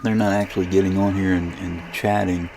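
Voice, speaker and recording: A man casually narrating a software demonstration as a radio hobbyist, thinking aloud in a hesitant, rambling way with a gravelly voice. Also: deep voice, flat delivery, brisk, music in the background.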